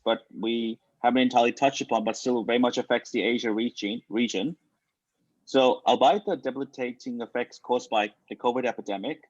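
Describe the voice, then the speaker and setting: A man's voice: mid-range voice, no echo, muffled recording. A man moderating a panel discussion, speaking in formal, wordy phrases. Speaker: webinar moderator.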